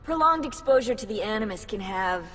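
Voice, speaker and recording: A woman's voice: medium pitch, animated, fairly quick, a bit bright, husky, big-room sound. An actress playing a clinical, hesitant woman scientist in a video game cutscene.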